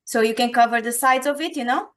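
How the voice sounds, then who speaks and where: A woman from Portugal with a Portuguese accent, explaining a recipe step by step in a calm, patient way. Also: on the high side, fast. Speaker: guest instructor. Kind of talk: casual recipe instruction.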